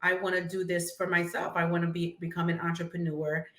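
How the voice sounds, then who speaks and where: A woman's voice: on the low side, level tone, fairly quick, a bit bright. A woman, answering interview questions in a friendly way with frequent filler words. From Brooklyn, New York. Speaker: guest, finance entrepreneur. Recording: echoey room.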